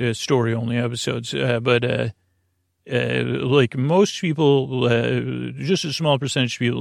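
A man with a mid-pitched voice full of creak hosts a bedtime podcast. He meanders through his monologue, stopping, restarting and drifting off the point. The sound is dry, with no room around it. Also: no room sound. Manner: meandering, halting, circles back and trails off. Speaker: podcast host. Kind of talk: rambling sleep podcast intro monologue.

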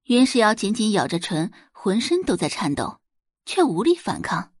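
A woman's voice: mid-range voice, sing-song, normal speed, husky, no echo, studio quality. A woman reading a scripted story expressively as an audiobook narrator.

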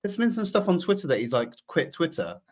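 A man's voice: fairly high pitch, big pitch swings, a little fast. A man hosting a football podcast while sounding bunged up, upbeat and jokey. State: stuffy, bunged up. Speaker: podcast host. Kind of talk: casual football chat.

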